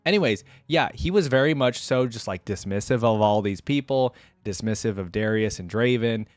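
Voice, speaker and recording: A man's voice: on the low side, lively intonation, moderate pace, no room sound. A man hosting a fan reaction video with casual commentary, rambling informally and thinking aloud as he talks to viewers. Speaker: host of a fan reaction channel.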